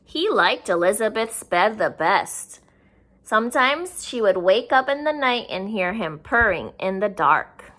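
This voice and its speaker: A woman's voice: medium pitch, very animated, unhurried, fairly bright tone. A woman reading a picture book aloud to young children, expressively and with simple explaining asides. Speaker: children's story reader.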